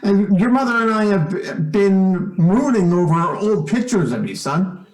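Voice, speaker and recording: A man's voice: high voice, lively intonation, normal speed. A man playing a hearty, affectionate father in an old-time radio drama, jovial and slightly fumbling as he speaks. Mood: genial, reassuring. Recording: roomy, echoing stage-like sound.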